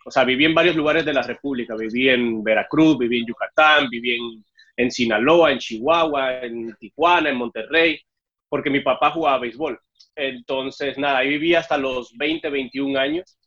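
Young man from Cuba, Mexican Spanish accent, lively storyteller, muffled dull audio. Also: mid-range voice, moderate pace. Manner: storytelling, acts out dialogue with raised voice. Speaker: interview guest. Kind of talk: casual interview chat.

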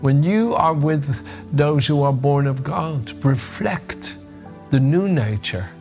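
A man's voice: a little high, expressive, slow pace, a little mellow, faint music behind. An older man with a Dutch accent tinged with American, giving a conversational devotional sermon, often restarting and searching for words. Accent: Dutch with a bit of American. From Netherlands. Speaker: preacher.

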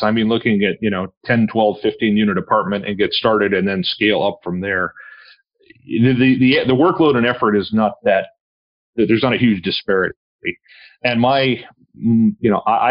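Male guest, forties, lowish voice, rambles, dull lo-fi audio. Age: 40s. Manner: rambling, many restarts and fillers. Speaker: podcast guest. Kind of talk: casual conversation. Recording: dull lo-fi sound.

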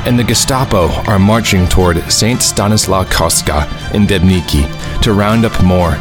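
Lower-pitched male story narrator. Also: moderate pace. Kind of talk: scripted dramatic narration. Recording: music behind the narration.

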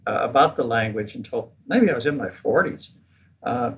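An older man in a casual interview, recounting his experiences in a relaxed, self-deprecating way. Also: mid-range voice, moderate pace, husky. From United States. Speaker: guest. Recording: dull lo-fi recording.

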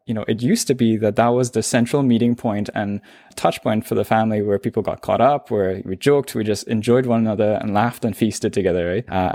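A man with a Trinidadian accent and a lowish voice, reflecting on his past as an interview guest. He talks in a relaxed, conversational flow and often says "you know". The recording is dry and close. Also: no room sound. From Trinidad and Tobago.

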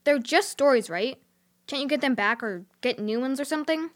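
A woman's voice: high-pitched, animated, fairly quick. A woman playing a candid young child adventurer who talks informally and a little hesitantly in a children's audio drama. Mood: earnest, defensive.

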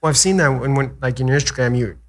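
A man hosting a casual interview, relaxed with loose phrasing and plenty of "mm-hmm"s. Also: medium pitch, fast talker. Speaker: host.

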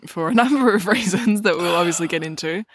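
Relaxed co-host, dry sound. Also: medium pitch, quick pace, breathy edge, dry recording. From Melbourne, Australia. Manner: relaxed, agreeable back-channel talk. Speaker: podcast co-host. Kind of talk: casual chat.

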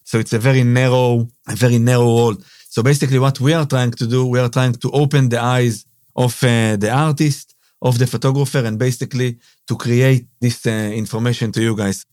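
A man's voice: mid-range voice, steady tone, fairly quick, a little mellow, no room sound, clean studio sound. Israeli-accented man hosting a podcast. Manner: non-native phrasing, frequent "uh" and "basically". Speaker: podcast host and business owner. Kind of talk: casual monologue.

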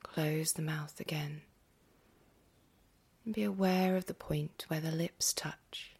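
Female meditation guide: husky, hushed, near-whispered, creaky at the edges, gentle and very unhurried. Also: low-pitched, steady tone. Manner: gentle, unhurried, with long pauses. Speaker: yoga nidra meditation guide.

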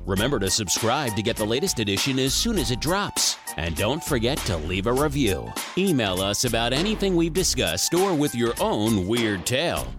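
Lively announcer over music. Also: male voice, mid-range voice, moderate pace, few pauses. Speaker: show announcer. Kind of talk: scripted outro.

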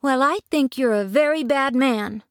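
A woman's voice: high-pitched, lively intonation, measured pace, fairly bright tone, no echo, studio quality, pitched above the performer's own voice. A woman voicing an indignant, outspoken young girl in a storybook.

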